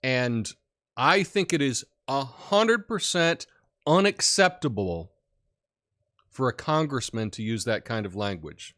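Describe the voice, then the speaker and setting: A man hosting a political commentary show, who talks in an opinionated, rambling way with many fillers and has a big booming voice. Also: animated, slow pace, a bit bright. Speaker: podcast host.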